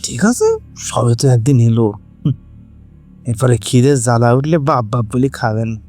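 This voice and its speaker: A man's voice: medium pitch, a little slow, a little mellow, faint music behind, close to an everyday voice. A man acting a ruthless, scheming occult villain in a gloating, menacing manner for an audio horror drama.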